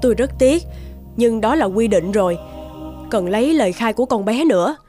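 A woman's voice: fairly high pitch, lively intonation, moderate pace, dark tone, soft background music, natural voice. A woman voicing a firm, formal court official in a cartoon, composed and official. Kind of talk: animated cartoon dubbing.

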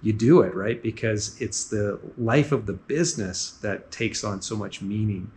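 A man's voice: on the low side, a little mellow, slight background noise. A man in his forties hosting a business show, summarizing points in an upbeat, talkative way and often adding "right?". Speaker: podcast host. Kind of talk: casual interview on a walking tour. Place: large indoor gym.

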